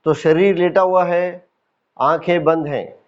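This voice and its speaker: A man with an Indian accent leading a guided yoga nidra meditation as a teacher, calm and instructive, with deliberate pauses and repetition. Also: on the high side, muffled recording.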